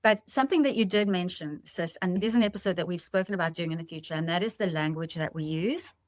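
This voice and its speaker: A woman's voice: on the low side, quick pace, dry recording, clean studio sound. A woman hosting a podcast in a conversational, reflective way, explaining her thoughts to listeners. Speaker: podcast host.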